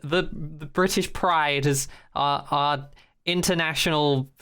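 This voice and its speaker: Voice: medium pitch, steady tone, takes it slowly, fairly bright tone, clear, resonant tone. A podcast host chatting casually in a rambling, informal way, swearing freely and sounding amused.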